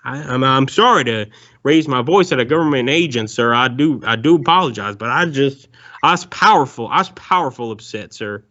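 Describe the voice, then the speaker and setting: A man's voice: mid-range voice, lively intonation, a little fast, pushed and bright. A man putting on a rambling Southern ex-convict drawl. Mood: ranting. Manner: folksy drawl, rambling, calls everyone sir. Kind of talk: prank call. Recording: dull lo-fi phone call.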